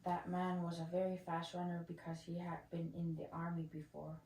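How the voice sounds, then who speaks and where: A woman's voice: fairly low pitch, monotone, brisk, dark tone. A woman reading an elder's life story aloud. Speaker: reader at a school story sharing. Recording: echoey room, faint noise.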